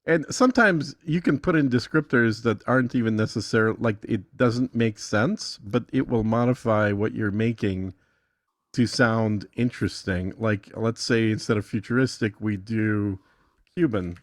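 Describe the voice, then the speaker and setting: A man with a somewhat low voice, recorded dry with very little room. He talks through a demonstration casually and easily, working out his thoughts aloud as he goes. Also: measured pace, dry recording. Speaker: guest demonstrating an AI music tool. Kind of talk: casual tutorial chat.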